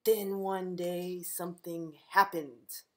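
Slow-paced woman reading to children. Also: on the low side. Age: middle-aged. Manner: gentle, expressive, addressing young children. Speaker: librarian reading aloud to children. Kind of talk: picture-book read-aloud. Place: living room at home, indoors.

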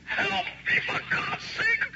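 A man playing a desperate, pleading, dying crash victim with a breathy delivery in a noisy old radio drama. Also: very high-pitched, huge pitch swings, slow, muffled recording, full character voice. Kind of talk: 1960s radio drama acting.

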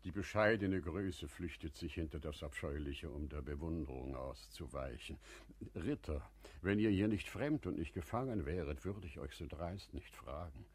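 An actor playing a wise, humble older merchant and father in a classic radio play, measured and courteous in manner. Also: extremely low pitch, animated, slightly rough, no room sound, exaggerated voice. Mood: thoughtful, courteous.

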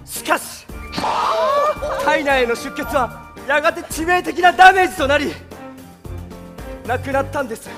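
A man's voice: extremely high pitch, normal speed, pauses often. A man playing a young defense lawyer on stage, earnest, flustered and given to dramatic outbursts. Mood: urgent, assertive. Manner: dramatic outbursts, hesitant pleading. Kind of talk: theatrical stage acting. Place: large theater stage. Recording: live stage recording with faint music.

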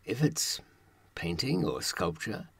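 A man in his seventies delivering a reflective monologue with personal stories, dramatic and deliberate, with pauses and rhetorical questions. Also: low-pitched, sing-song, a bit bright, husky, no room sound, in a whisper. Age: 70s. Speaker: presenter of his own talk series.

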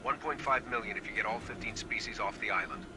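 An actor playing a hushed, scheming corporate conspirator in a game cutscene. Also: male voice, fairly low pitch, fast, background noise, natural voice. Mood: conspiratorial. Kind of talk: film dialogue in a game cutscene.

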